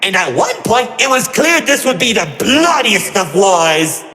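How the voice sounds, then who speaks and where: High-pitched, theatrical, gleeful trickster villain. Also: male voice, very animated, unhurried, bright, forceful tone, full character voice. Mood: dramatic storytelling. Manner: mocking, flippant, melodramatic, swinging between grandeur and glee. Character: gleefully cruel, theatrical immortal trickster villain recounting war. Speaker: narrator villain. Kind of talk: theatrical storytelling narration. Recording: echoey hall reverb over music. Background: music.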